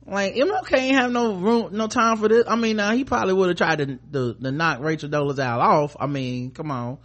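A man's voice: high voice, fairly quick, a bit bright. An American man hosting a podcast, giving casual, comedic news commentary in an irreverent, sarcastic, sweary way. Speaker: podcast host.

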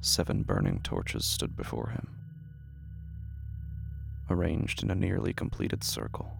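A man narrating a horror audio drama in a slow, hushed storytelling manner. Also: extremely low pitch, very animated, vocal fry, soft background music.

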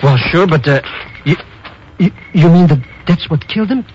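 A grumbling, nervous American sidekick, played by an actor with a highish, very lively voice. He complains in slangy 1950s radio-comedy fashion. The sound is muffled, like an old broadcast. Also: moderate pace, lightly acted. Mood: grumbling, uneasy. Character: jittery, grumbling comic male sidekick. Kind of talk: 1950s radio drama acting. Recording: muffled old radio recording, slight hiss.

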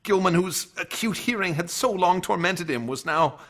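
A man's voice: high-pitched, animated, fairly bright tone, full character voice. An actor playing a haunted young man recounting a friend's horrific death, in an intense radio drama style. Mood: sorrowful. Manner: intense, halting when distressed. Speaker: narrator and lead actor. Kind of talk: dramatic radio play narration. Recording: dramatic music under the voice.

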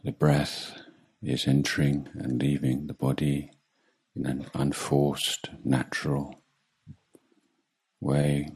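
Really low husky guy guiding meditation, calm, super slow with long gaps. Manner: calm, measured, long pauses between short phrases. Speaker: Buddhist monk meditation teacher. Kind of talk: guided meditation.

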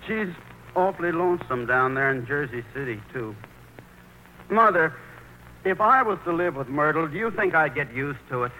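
A man's voice: a little high, animated, moderate pace, muffled recording, lightly acted. An actor playing a timid, hesitant grown son tied to his mother, in 1940s radio acting style. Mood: anxious, whiny. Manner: hesitant, stammering, bashful. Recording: noisy old radio broadcast recording.

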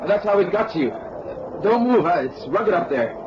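An actor playing a concerned, eager detective's sidekick in a radio drama, earnest and reassuring. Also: male voice, high-pitched, animated, normal speed, highs cut off. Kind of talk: 1970s radio drama acting. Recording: noisy, band-limited old radio recording.

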